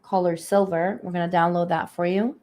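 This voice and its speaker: A woman with a slightly hoarse voice giving a live spiritual reading, speaking softly and unhurriedly with many fillers. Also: on the low side, steady tone, fairly quick. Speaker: energy healer giving readings. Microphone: phone or webcam on a livestream.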